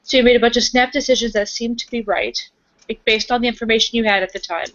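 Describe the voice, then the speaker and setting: A woman's voice: a little high, steady tone, quick pace, a bit bright, close to an everyday voice. A woman playing a young, candid werewolf in an improvised roleplay, casual and blunt as she questions things. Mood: exasperated. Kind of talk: improvised in-character roleplay conversation. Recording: dull lo-fi voice recording.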